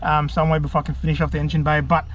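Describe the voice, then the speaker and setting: A man's voice: fairly high pitch, completely flat delivery, very fast, a bit bright, muffled recording, a little hiss or hum. A man giving a laid-back, self-deprecating hands-on DIY tutorial, chatting colloquially to his viewers. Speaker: vlogger presenting a DIY car install.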